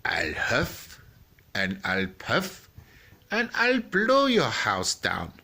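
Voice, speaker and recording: A man's voice: on the low side, huge pitch swings, very slow, sharp tone, heavily acted. A man voicing a big bad wolf, a hungry and menacing storybook villain who draws out his taunting threats. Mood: threatening.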